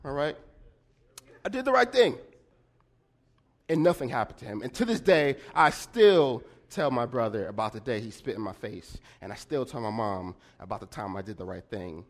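Animated man preaching through a story. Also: moderate pace. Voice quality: slightly nasal and deeper than usual. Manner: animated storyteller with frequent "right?" check-ins. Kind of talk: storytelling sermon.

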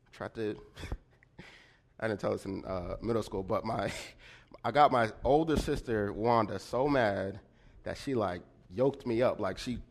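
A man telling a personal story in a sermon, with a slightly nasal voice and animated delivery, often checking in with his listeners. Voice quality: slightly nasal and deeper than usual. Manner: animated storyteller with frequent "right?" check-ins. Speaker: preacher.

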